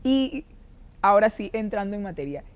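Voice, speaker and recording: A woman hosting a conversation on film, asking questions in a measured, affirming way. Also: medium pitch, animated. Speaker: host, interviewer. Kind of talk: interview conversation.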